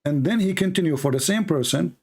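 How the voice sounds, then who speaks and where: A man's voice: on the high side, lively intonation, brisk, darkish tone. A man whose first language is Arabic, hosting a heated debate and pressing his points insistently, repeating himself and cutting in. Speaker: debate host. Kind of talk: argumentative debate.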